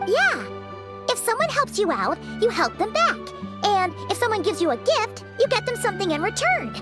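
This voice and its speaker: A tiny female fairy sidekick chirps in an extremely high, very animated childlike voice. She is candid and friendly, in game dialogue over clear background music. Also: normal speed. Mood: chirpy, candid. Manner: exclamatory, childlike, explains things simply. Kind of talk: scripted video game dialogue.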